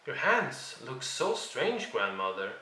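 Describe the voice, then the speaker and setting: A man's voice: mid-range voice, sing-song, slow, pushed and bright, full character voice. A man voicing a frightened, innocent little storybook girl, earnest and timid in manner. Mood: timid wonder. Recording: roomy, distant microphone.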